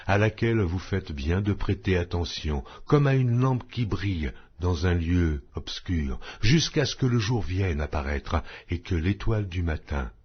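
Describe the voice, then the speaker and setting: A man giving a measured, solemn scripture reading, his phrases falling at their ends. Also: deep voice, husky, dull audio. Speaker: Bible reader.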